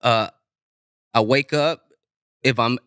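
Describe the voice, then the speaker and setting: A man's voice: mid-range voice, takes it slowly, smooth, no echo, studio quality. A man from Inglewood, California, talking loosely as a rapper guest on a podcast, swearing freely. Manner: relaxed, loose, swears freely, many restarts. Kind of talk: casual podcast interview.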